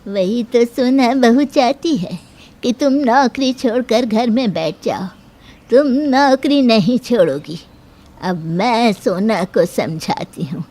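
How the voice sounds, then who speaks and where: A woman acts out a middle-aged Indian mother-in-law from a moral cartoon. She is stern yet fair, with a fairly high voice. Her words come slowly and carefully, as measured admonishment. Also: lively intonation, a little mellow. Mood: reassuring, firm. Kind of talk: Hindi moral-story cartoon dialogue.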